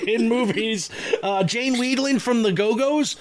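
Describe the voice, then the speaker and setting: High-voiced rambling American man chatting. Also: measured pace. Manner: rambling, jokey, self-deprecating. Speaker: podcast host. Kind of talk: casual chat.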